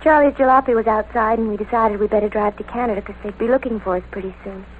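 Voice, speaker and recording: Girlish, unbothered teen killer, played by an actress, high voice, vintage radio drama with tinny, slightly noisy sound. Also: steady tone, fast talker, close to an everyday voice. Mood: eerily calm. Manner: matter-of-fact, girlish, unbothered. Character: sweet-faced, eerily calm, childlike teenage girl killer. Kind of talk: old-time radio drama acting.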